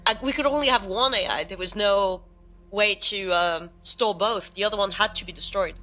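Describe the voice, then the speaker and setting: Female game player, bright and sharp tone, casual group chat, music in the background. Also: medium pitch, normal speed, low-quality recording. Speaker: player in a role-playing game.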